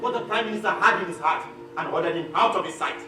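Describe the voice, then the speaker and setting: High-pitched Nigerian man telling a stage story. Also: fast talker. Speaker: stage actor narrating a one-man play. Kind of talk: theatrical scripted storytelling. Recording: echoey hall, distant mic, music behind.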